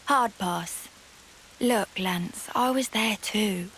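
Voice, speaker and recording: A woman's voice: medium pitch, very slow, a bit bright, slight background noise, poor audio. A woman playing a cheeky but caring young female soldier in a game cutscene, blunt and informal. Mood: teasing. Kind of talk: video game cutscene acting.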